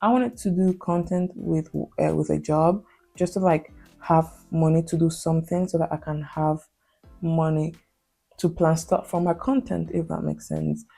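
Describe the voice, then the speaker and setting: Young woman, Spanish native, Spanish accent; mellow, creaky low voice; rambling confessional vlogger with faint music underneath. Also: steady tone, measured pace. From Spain. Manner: hesitant, heavy on fillers and restarts. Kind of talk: confessional casual vlog talk.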